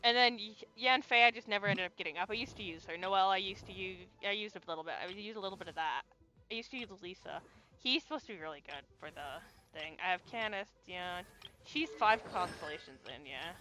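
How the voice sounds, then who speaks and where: A woman's voice: medium pitch, a little fast, sharp tone, faint music behind. A young adult woman streaming a game, chatting casually and rambling, swearing freely as she goes. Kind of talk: casual gaming chat.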